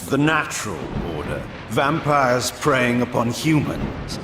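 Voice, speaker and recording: An actor voicing a proud, sardonic male vampire warlord in a video game, cold and imperious, with a theatrical, deliberate delivery. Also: medium pitch, very animated, reverberant, background noise. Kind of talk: scripted video game cutscene acting.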